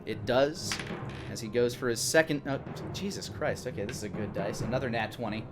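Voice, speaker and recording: A man's voice: mid-range voice, brisk. A man in his thirties narrating a tabletop fantasy game as game master, improvising as he goes. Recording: music playing behind the voice.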